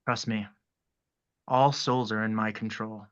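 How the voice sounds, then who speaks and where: Man as a measured, sinister killer, dull close audio. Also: fairly low pitch, clear, resonant tone, dry recording, close to an everyday voice. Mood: politely sly. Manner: measured, formal, calmly menacing. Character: polite, sinister cult son and ritual killer. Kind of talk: horror script table read.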